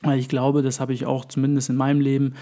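Slightly hoarse male podcast host, mellow tone, thoughtful and rambling. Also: mid-range voice, monotone, fast talker. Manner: thoughtful, explains at length with many fillers. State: under the weather, voice a bit hoarse. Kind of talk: casual podcast chat.

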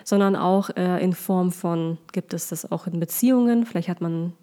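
A woman's voice: on the low side, normal speed, mellow. Female co-host, casual and chatty, restarts her sentences. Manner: teasing, sums up points, hesitant restarts. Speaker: podcast co-host. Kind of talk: casual podcast chat.

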